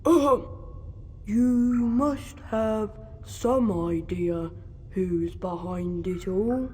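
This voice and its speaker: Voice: medium pitch, extremely slow, mellow, a little hiss or hum. An actor voicing an earnest English boy wizard of about twelve in a video game cutscene. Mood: nervous, hesitant.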